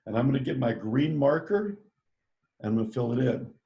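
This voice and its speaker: A man's voice: medium pitch, fairly quick, creaky, muffled recording. A man teaching a live online drawing lesson, giving playful step-by-step instructions in an upbeat way. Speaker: art teacher.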